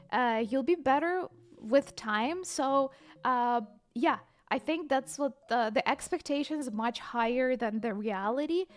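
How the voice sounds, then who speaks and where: A young woman, a livestreamer chatting casually and reading viewer comments aloud, excitable and hesitant in her English. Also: a little high. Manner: chatty, excitable, bursts of squeals and laughter; hesitant non-native English with many fillers.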